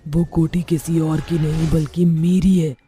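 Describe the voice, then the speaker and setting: A woman's voice: sits low, level tone, unhurried, warm tone, faint music behind. A woman voicing a vengeful, bitter female witch ghost in a horror cartoon, speaking in a menacing, declaiming way. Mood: commanding. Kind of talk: Hindi horror cartoon voice acting.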